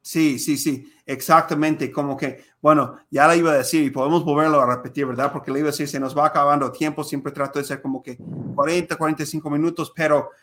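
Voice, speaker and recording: A man's voice: a little high, steady tone, fast talker, fairly bright tone. A man hosting an interview podcast, talking with many hesitations and fillers. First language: Spanish. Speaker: podcast host. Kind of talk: conversational interview.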